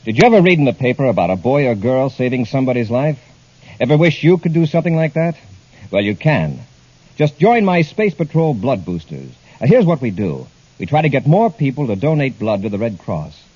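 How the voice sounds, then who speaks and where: A bold space hero commander in a vintage radio serial. His voice is husky and lively, with an authoritative, dryly confident delivery. The recording is dull and lo-fi. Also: medium pitch, fairly quick. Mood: earnest appeal. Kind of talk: 1950s radio adventure acting.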